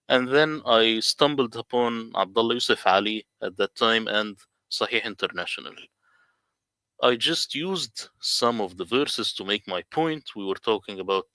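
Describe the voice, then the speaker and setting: A man's voice: mid-range voice, sharp tone, dry recording. A man from the Middle East whose first language is Arabic, earnestly telling his story in casual livestream talk. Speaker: guest translator.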